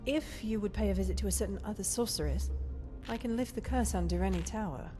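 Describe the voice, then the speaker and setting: Casting: actress as composed exiled game sorceress, polite, earnest, medium-pitched, over music. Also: female voice, quick pace, lightly acted. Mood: earnest, solemn. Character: courteous, composed exiled court sorceress seeking help. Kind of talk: scripted video game dialogue.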